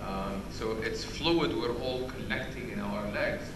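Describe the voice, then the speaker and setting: A man with a loud voice giving an interactive medical lecture, quizzing the audience and restarting his sentences often. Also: medium pitch, measured pace, background noise. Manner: questions the audience, many restarts. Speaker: physician lecturer. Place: conference hall.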